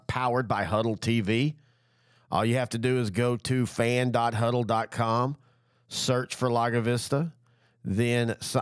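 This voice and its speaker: A middle-aged man with a drawl hosts a local football show while fighting a head cold, so his voice sounds stopped up. He talks at an ordinary mid pitch, rambling and fixing himself mid-sentence, full of enthusiasm for playoff season. The close studio mic sounds completely dry. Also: no room sound. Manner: rambling, self-correcting, enthusiastic. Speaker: sports show host. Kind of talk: casual sports talk.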